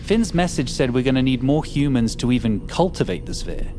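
A man playing an observant, practical survivor in an audio drama, deliberate and matter-of-fact. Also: mid-range voice, animated, noisy background, lightly acted. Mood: questioning. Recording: clear music bed underneath.

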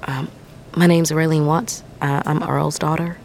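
A woman's voice: low voice, little pitch movement, unhurried, darkish tone, a little hiss or hum. A woman playing a determined but worried long-haul trucker in an audio drama, hesitant yet blunt. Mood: hesitant, subdued. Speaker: actor in an audio fiction drama.

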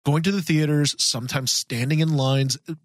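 A man's voice: on the high side, steady tone, moderate pace, dry recording, clean studio sound. A man co-hosting a podcast, chatting casually and agreeably. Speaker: podcast co-host. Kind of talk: casual chat.

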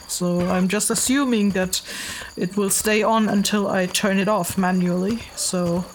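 A woman's voice: mid-range voice, fairly even intonation, a little slow, slight background noise, close to an everyday voice, low-quality recording. A woman playing a weary, hesitant traveling investigator in a fantasy audio drama, speaking matter-of-factly. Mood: hesitant, rambling. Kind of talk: fantasy audio drama, narrated log and dialogue.

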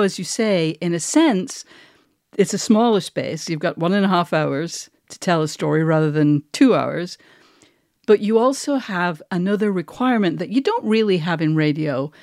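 A woman with a fairly low voice speaks as an interviewer, recorded close and dry. Her delivery is conversational, building long questions with asides along the way. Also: darkish tone, no room sound. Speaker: podcast host, interviewer.

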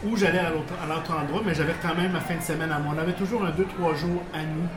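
A man's voice: a little high, fairly even intonation, fast. Man in an echoey bar, Quebec French. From Portuguese background, living in Quebec. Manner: rambling, many restarts, chuckling. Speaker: guest. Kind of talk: casual storytelling interview. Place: bar with live audience. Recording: echoey bar with background noise.

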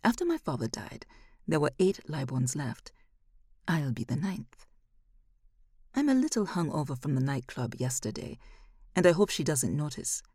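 A woman narrating a first-person literary short story in a measured, expressive way. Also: sits low, slightly rough, no echo, studio quality.

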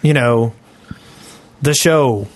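A man with an average-pitched voice co-hosts a podcast. He talks in a casual, conversational way, moving between loose chat and reading aloud. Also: extremely slow. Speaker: podcast co-host.

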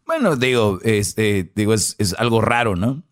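A man's voice: on the low side, expressive, a little slow. A man with a Mexican accent co-hosting a radio show, making laid-back, dry remarks. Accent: Mexican Spanish. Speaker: radio co-host.

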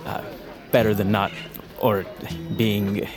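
A man's voice: on the low side, little pitch movement, over music. A man playing a meek, earnest young mountain man in a fantasy audio drama, awkward and stammering. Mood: nervous, smitten. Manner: halting, stammering, hesitant.

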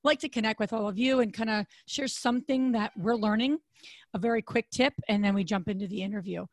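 A woman in her thirties co-hosting a podcast, with a deep, raspy, hoarse voice, chatting casually and rambling with fillers and restarts. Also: brisk, no echo. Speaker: podcast co-host.

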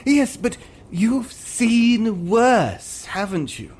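Man playing an eager high-pitched adventuring actor. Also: big pitch swings, drawn-out words, a little mellow, husky, exaggerated voice. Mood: eager, hopeful. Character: eager, fawning, nervous male actor turned adventurer.

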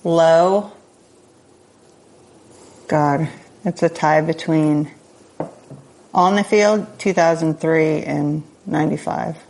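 Slow-talking woman interview guest, forties, easygoing with a ready laugh. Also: deep voice, vocal fry, darkish tone. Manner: unhurried, laughs easily, self-deprecating. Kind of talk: casual conversational interview.

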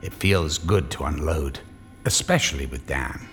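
An actor playing the narrator of a horror radio tale, telling it in a theatrical, measured way. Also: male voice, extremely low pitch, a bit bright. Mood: ominous, wry. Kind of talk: scripted dramatic narration. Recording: long hall reverb, faint music.

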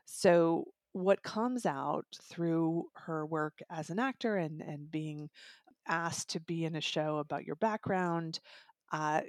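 A woman's voice: deep voice, slow, no room sound. Woman reviewing books, dry close sound. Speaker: audiobook reviewer and podcast guest. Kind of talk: conversational book review discussion.

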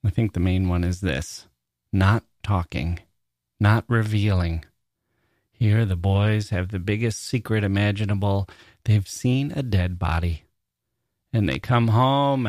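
A man's voice: on the low side, darkish tone, dry recording, clean studio sound. A man hosting a literary podcast solo, talking conversationally and reflectively with informal asides. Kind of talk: conversational solo podcast talk, reading listener mail.

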